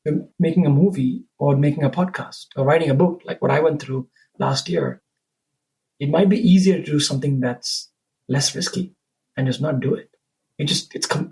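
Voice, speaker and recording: A man's voice: a little high, a little mellow, low-quality recording. A man from Sri Lanka, a podcast guest thinking aloud in casual conversation, often checking in with "right?" and "you know".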